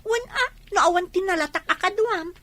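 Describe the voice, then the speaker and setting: Casting: bossy young pal, Filipino radio drama, piping high and sharp, always urging. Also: female voice, a little fast. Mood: encouraging. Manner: urging, insistent. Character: bossy, encouraging young schoolmate and friend.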